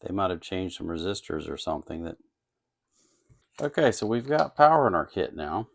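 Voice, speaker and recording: A man's voice: deep voice, animated, normal speed, vocal fry, slight background noise. A man narrating hands-on work casually and without a script, musing and hesitating as he thinks aloud. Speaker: host of an electronics kit-building channel. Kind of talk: casual, unscripted workbench narration.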